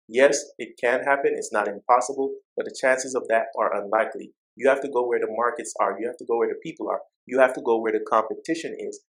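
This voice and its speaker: Jamaican-accented man, mid-pitched finance YouTuber, motivational and direct, leans on repeated phrases. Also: low-quality recording. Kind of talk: motivational talk to camera.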